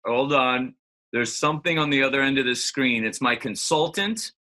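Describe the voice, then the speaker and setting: A man hosting a casual chat in an excitable, playful way. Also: medium pitch, moderate pace. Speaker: host.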